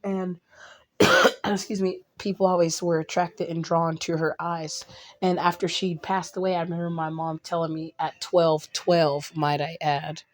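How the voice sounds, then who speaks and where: A woman vlogging casually to her viewers, a little hoarse and raspy from a cold, rambling with restarts and blunt asides. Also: fairly low pitch, little pitch movement, measured pace. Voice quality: slightly raspy. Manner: blunt and outspoken.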